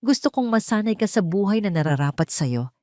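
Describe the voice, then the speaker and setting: A woman's voice: mid-range voice, animated, brisk, darkish tone, no echo, studio quality, lightly acted. Controlling male fiancé read by a female narrator, sweet-talking with a commanding weight, audio drama. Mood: gently commanding. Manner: tender yet commanding.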